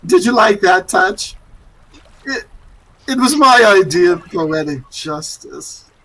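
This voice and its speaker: An actor playing a gloating, urbane murderer who speaks with mocking politeness, in 1940s radio drama style. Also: male voice, high voice, expressive, slow, sharp tone, full character voice, rough recording. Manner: mocking politeness with frequent chuckles. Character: gloating, urbane male murderer holding captives at gunpoint.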